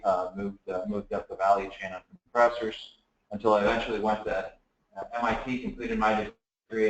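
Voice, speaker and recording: A man from the Dominican Republic giving a relaxed technical talk at a seminar, with many fillers and restarts. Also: lowish voice. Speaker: guest speaker. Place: seminar room, echoey. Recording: echoey room.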